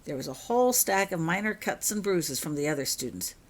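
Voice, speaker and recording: A woman acts out a school healer for a storybook. She is a brisk, kindly woman who gives instructions in a matter-of-fact way. Her pitch sits on the low side. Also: animated. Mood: brisk, caring.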